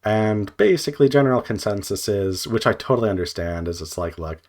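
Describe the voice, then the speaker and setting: A man with a low voice speaks casually and without a script. He thinks aloud in a rambling, loosely organized way, pausing often and leaning on filler words as he feels his way through each thought. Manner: hesitant, frequent filler "like" and trailing pauses. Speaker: video creator, indie visual novel developer. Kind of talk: unscripted casual vlog monologue.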